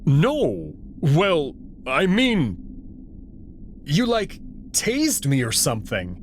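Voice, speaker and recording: A man voicing an awkward, evasive male alien wolf who talks haltingly in a visual novel. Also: a little high, wildly swooping pitch, slight background noise, full character voice. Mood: hesitant, concerned. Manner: hesitant, halting.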